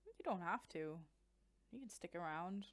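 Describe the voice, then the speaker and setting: A young Irish woman casually commentating a strategy game, chatty and quick to laugh. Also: medium pitch, expressive. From Ireland. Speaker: event commentator.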